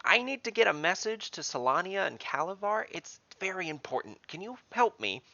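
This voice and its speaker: Young female gnome adventurer, played by a man: high voice, hesitant, earnest, fantasy storytelling. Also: very animated, moderate pace, gravelly, pushed and bright, no room sound, muffled recording, exaggerated voice. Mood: urgent, pleading. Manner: hesitant, stammering.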